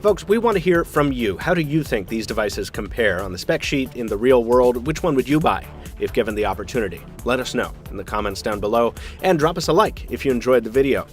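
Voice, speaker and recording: A man's voice: mid-range voice, expressive, a little fast, soft background music. A man hosting a tech show, narrating a scripted product review in an emphatic style with dry, wry asides.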